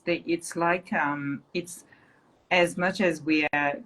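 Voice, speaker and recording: A woman's voice: low voice, fairly even intonation, takes it slowly, a bit bright, poor audio. A woman with a Nepali accent, hosting a casual interview with many ums and affirmations. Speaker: host. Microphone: video call.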